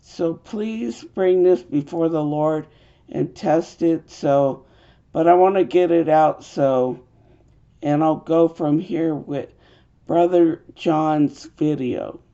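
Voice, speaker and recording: Hoarse woman with a gravelly, slow voice. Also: sits low, mellow. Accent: American. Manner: earnest, halting with fillers. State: hoarse from a cold. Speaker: video host. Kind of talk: urgent religious video monologue. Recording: dull lo-fi home recording.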